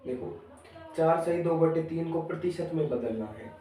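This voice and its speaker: A man's voice: a little high, moderate pace, warm tone. A male maths teacher explaining a lesson step by step, repeating each step and asking the children questions. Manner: addresses the pupils as children. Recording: echoey room, voice far from the mic.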